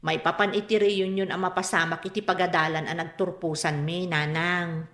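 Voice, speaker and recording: A woman's voice: lowish voice, little pitch movement, normal speed, fairly bright tone. A woman playing a testy, proud young mother in an Ilocano radio comedy-drama, speaking with an Ilocano accent. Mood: wry, casual. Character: adult daughter and mother, testy, proud of looks. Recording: roomy studio echo.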